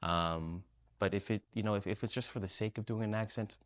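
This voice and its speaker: A man's voice: on the low side, fairly even intonation, fairly quick, no echo, studio quality. A man actor answering bluntly and firmly. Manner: blunt and firm. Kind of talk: interview answer.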